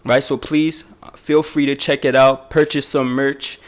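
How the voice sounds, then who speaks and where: A young man hosting a podcast in a relaxed, casual way, with frequent "um" and "right?". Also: medium pitch, a little slow. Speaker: podcast host. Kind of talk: casual interview chat.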